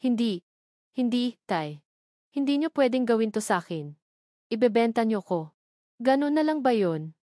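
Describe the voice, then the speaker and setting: A woman's voice: fairly high pitch, lively intonation, a little slow, long pauses, mellow, no room sound, studio quality. A woman voicing an anguished, pleading young daughter in an audio drama story.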